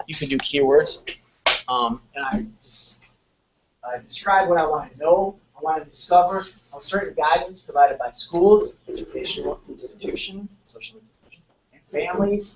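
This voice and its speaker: A man teaching a sociology class, explaining step by step and repeating key words. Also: fairly high pitch. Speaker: sociology instructor. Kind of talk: classroom lecture. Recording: echoey room, mic far off, faint noise.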